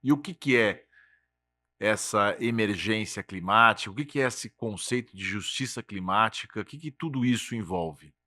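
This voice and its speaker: Bright-voiced male interviewer. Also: on the low side, moderate pace. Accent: São Paulo Portuguese. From Brazil. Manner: prompting, brief interjections. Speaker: podcast host.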